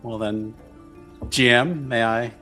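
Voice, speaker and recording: A man's voice: mid-range voice, steady tone, slow, pushed and bright. A man playing a tabletop roleplaying game, casually describing what his character does as he thinks aloud. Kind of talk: casual improvised character narration. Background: background music.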